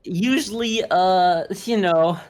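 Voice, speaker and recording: A man's voice: high voice, takes it slowly, soft background music. A man who is a native German speaker, co-hosting a podcast and casually discussing anime. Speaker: podcast host.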